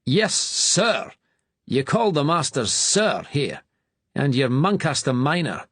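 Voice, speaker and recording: A man voicing a severe male schoolmaster with a Scottish accent and a sharp, barking way of talking. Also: on the high side, sing-song, takes it slowly, no room sound, pitched above the performer's own voice, full character voice. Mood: sharp, scolding.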